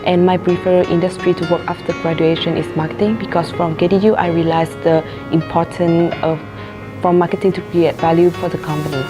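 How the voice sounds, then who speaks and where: A woman's voice: lowish voice, flat delivery, brisk. A young woman giving a scripted self-introduction as a new graduate. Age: young adult. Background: guitar music.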